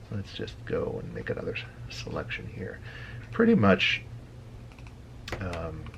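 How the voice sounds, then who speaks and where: Low, gravelly middle-aged man explaining casually. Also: noisy background. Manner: halting, thinking aloud while browsing. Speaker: radio hobbyist presenting a tutorial.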